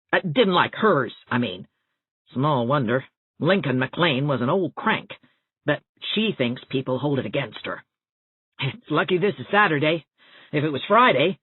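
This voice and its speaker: Woman voicing a husky, gossipy older village woman. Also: low voice, narrow, tinny audio, exaggerated voice. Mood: confiding, fussy. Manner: folksy, rambling, confiding. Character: chatty, gossipy older small-town woman, well-meaning busybody. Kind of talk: audiobook character dialogue. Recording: thin band-limited audio, roomy echo.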